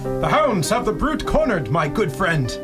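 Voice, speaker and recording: A man's voice: high-pitched, sing-song, a little slow. A man playing a stern, suspicious medieval knight, declaiming archaic rhyming verse in a radio drama. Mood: hearty. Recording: loud plucked guitar music behind. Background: guitar accompaniment.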